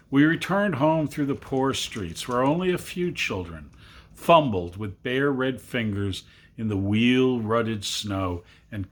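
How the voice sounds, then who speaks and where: A man giving an expressive, dramatic reading of a literary story, lingering over descriptive phrases. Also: on the low side. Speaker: amateur reader of a literary story.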